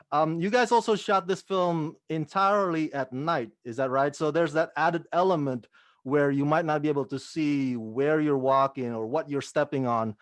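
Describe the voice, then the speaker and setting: A man's voice: mid-range voice, dry recording. Friendly male interviewer, dry sound.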